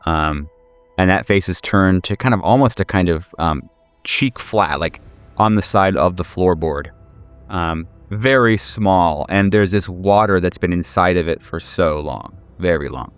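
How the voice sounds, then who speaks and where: Low voiced guy game mastering horror, dry close sound, halting and repeating words, bit of music under. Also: clean tone, dry recording. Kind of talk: improvised horror game narration, conversational.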